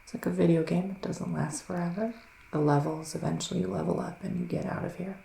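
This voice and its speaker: A man playing a solemn psychic male witch in a soft-spoken, half-whispered ASMR roleplay, deliberate and full of breathy pauses. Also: high voice, fairly even intonation, echoey room, a little hiss or hum, low-quality recording. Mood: grave, soothing. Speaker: ASMR performer.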